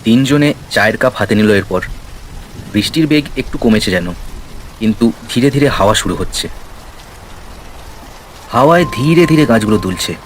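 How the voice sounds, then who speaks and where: Guy narrating a ghost story, kinda deep voice, bit of rain in the background. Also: lively intonation, moderate pace, long pauses, a little hiss or hum, poor audio. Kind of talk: scripted horror story narration. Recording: rain and ambience behind the voice. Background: rain sound effects.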